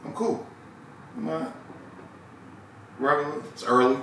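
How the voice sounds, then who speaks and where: A man's voice: fairly high pitch, measured pace, creaky, fairly bright tone, far from the mic, slight background noise. A man, a radio personality, chatting as a guest in a laid-back, playful, swaggering way. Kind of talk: casual interview chat. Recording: echoey room, voice off-mic.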